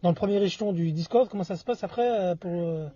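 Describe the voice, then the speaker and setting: A man chatting casually in a hesitant, halting way, repeating words as he goes. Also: high voice, warm tone, dry recording, dull audio. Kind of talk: casual conversation.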